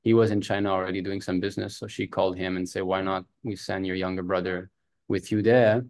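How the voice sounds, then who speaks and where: A man's voice: low-pitched, level tone, brisk, a little mellow. A man in his thirties from Canada, a native French speaker, telling his personal story as an interview guest. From Montreal, Canada. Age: 30s. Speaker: podcast guest.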